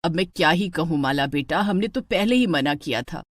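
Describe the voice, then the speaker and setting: Woman voicing a worried, low-pitched caring mother. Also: animated, normal speed, no echo, studio quality. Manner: fussing, concerned. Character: caring, worried middle-aged mother of a married daughter.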